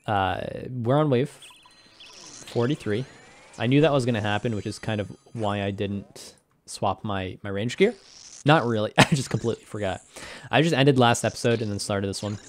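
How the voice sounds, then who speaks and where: Slightly hoarse man casually commenting on a game. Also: on the low side, moderate pace. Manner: rambling, self-deprecating, laughs often. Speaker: gaming commentator.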